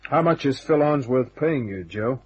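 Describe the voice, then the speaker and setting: A man's voice: mid-range voice, expressive, moderate pace, breathy edge. An actor playing a wry, tough private detective in a radio drama, wisecracking and hard-boiled, who also narrates his case. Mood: tense, challenging. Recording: dull, band-limited old radio recording.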